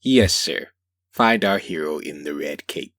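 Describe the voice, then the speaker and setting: A man voicing a polite, dutiful police partner in a comic dub, speaking in a dry, formal, deadpan manner. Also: very deep voice, a little slow, no echo, full character voice.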